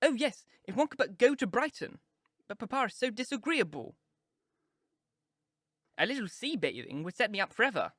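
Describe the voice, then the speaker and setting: Lydia, a man voicing a shrill giddy teen girl. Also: big pitch swings, moderate pace, long pauses, fairly bright tone, no room sound, studio quality, exaggerated voice. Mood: whiny. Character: giddy teenage girl, frivolous and dramatic.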